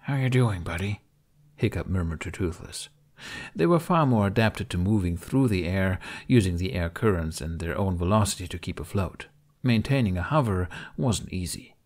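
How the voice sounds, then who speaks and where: A man reading fiction aloud as an audiobook narrator, with expressive scripted delivery. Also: low-pitched, moderate pace.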